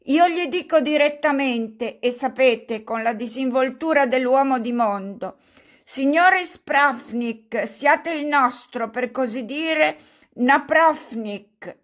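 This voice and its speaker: A woman doing an old male buffoon from an audiobook, effusive and clownish. He talks loudly and at length, somewhat high in pitch. His delivery is slow and drawn out. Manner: loud, effusive, self-mocking clowning.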